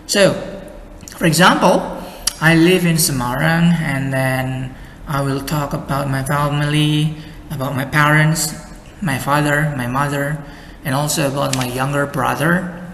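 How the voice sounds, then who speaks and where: A young adult man with an Indonesian accent, an English exam tutor explaining slowly and carefully with model answers. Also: fairly high pitch, steady tone, a bit bright, a little hiss or hum. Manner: slow, careful explaining with many "and then" links. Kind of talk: lesson with sample answers. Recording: echoey room with faint ambience.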